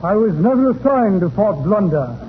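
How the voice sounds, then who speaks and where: An actor playing a brisk, matter-of-fact army cavalry colonel in a 1930s radio comedy. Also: male voice, high voice, big pitch swings. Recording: noisy, tinny 1930s radio recording.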